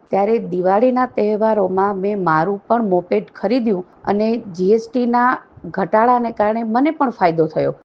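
A woman giving a formal, prepared customer testimonial. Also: medium pitch. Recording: dull, lo-fi voice clip.

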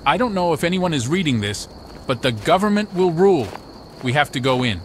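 A man's voice: a little high, very animated, fairly quick, a little hiss or hum. A synthetic male voice playing a warrior companion in a fantasy video game, with stilted, disjointed delivery.

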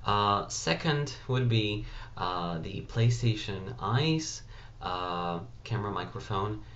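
A young man giving a casual tech review at a desk in a small home room, talking in a plain, measured way with frequent "uh" fillers, recorded on a built-in computer webcam mic. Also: lowish voice, expressive, a bit bright, muffled recording, a little hiss or hum. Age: young adult. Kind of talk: casual spoken product review.

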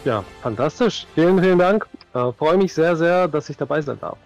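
A man's voice: fairly high pitch, lively intonation, normal speed, darkish tone, lo-fi sound. A middle-aged man chatting casually as a guest over a headset on a video call. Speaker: guest, new game master. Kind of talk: casual chat. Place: room at home, on video call. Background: music under the voice.

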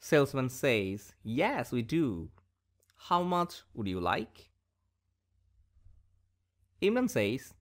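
A man teaching a school lesson in a voice-over, reading a dialogue aloud in a slow, deliberate way. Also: mid-range voice. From Bangladesh. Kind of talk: scripted English lesson for schoolchildren. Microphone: voice-over over slides.

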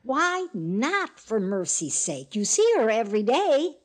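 A woman's voice: on the high side, big pitch swings, measured pace. An older woman playing a caring, nagging mother of a grown son in old radio comedy style. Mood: insistent. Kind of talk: old-time radio sitcom acting. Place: indoors at home on a video call.

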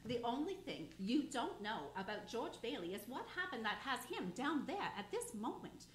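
A woman narrating a radio play as a heavenly figure, hushed at sad moments. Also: a little high, very animated, normal speed, a little hiss or hum. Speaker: narrating angel. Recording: roomy, with loud music under.

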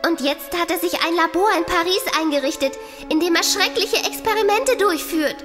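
A woman's voice: extremely high pitch, fairly quick. An actress playing an agitated woman informant in a horror radio play, recorded with long reverb. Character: Caroline, agitated female informant revealing dark secrets. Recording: long hall reverb over music.

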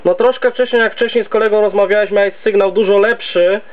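A man's voice: sits high, fairly even intonation, fast. A man chatting in a rambling, casual way as an amateur radio operator, heard through narrow CB radio audio. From Poland. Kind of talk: casual radio contact chat. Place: home base station.

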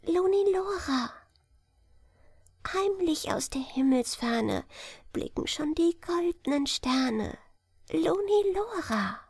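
A woman voicing a chorus of tiny gray underground dwarf folk, chanting a soft, airy lullaby in a lilting singsong. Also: high voice, no echo, studio quality, pitched above the performer's own voice, heavily acted.

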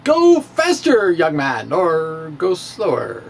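A middle-aged man giving a theatrical reading of popular science, with drawn-out emphasis and pauses. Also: a little high, huge pitch swings, vocal fry, slight background noise. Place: small room with bookshelves. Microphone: handheld-style microphone on a stand, close in front.

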